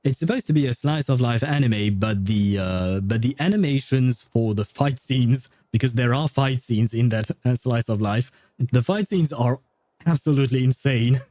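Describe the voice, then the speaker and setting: Mellow, rambling man streaming. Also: mid-range voice, dry recording. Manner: halting, rambling, amused. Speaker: livestreamer. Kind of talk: casual live chat while gaming.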